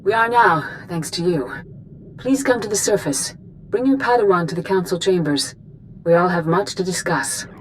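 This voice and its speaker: Woman as a low-voiced, dignified Jedi leader. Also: slight background noise, natural voice, low-quality recording. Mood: relieved, gracious. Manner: formal, measured, authoritative. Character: dignified, gracious female Jedi council leader. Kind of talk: video game acting.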